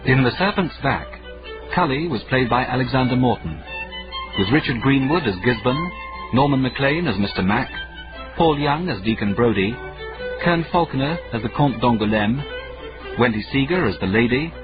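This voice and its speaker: A man's voice: mid-range voice, expressive, normal speed. A man announcing radio drama credits in a scripted style. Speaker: announcer reading cast credits. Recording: muffled radio recording with fiddle music.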